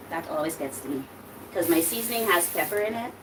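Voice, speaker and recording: A woman's voice: lowish voice, expressive, normal speed, distant mic. Chatty Trinidadian woman cooking at home. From Dominica, raised in Trinidad and Tobago. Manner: chatty, rambling, talks while cooking. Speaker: cooking channel host. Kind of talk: casual cooking vlog chat. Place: home kitchen. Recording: loud kitchen noise, voice off-mic. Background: sizzling food frying.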